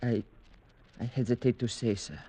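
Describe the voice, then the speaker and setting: An actor playing a deferential village servant in a vintage radio drama, hesitant and uneasy. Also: male voice, medium pitch, steady tone, moderate pace, mellow, slightly rough, natural voice. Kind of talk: 1940s-50s radio drama acting.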